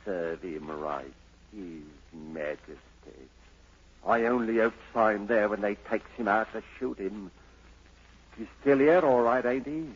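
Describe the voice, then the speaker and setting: An actor playing a sly, bullying, bedraggled Cockney rogue in a 1940s radio drama, sneering and slangy. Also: male voice, on the low side, unhurried, a little hiss or hum, lightly acted. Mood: gloating, scheming. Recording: dull old 1940s radio broadcast.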